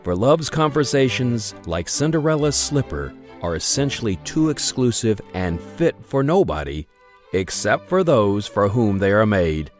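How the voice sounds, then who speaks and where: A man narrating a period radio drama in a florid, expressive storytelling manner. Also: on the low side, unhurried, soft background music.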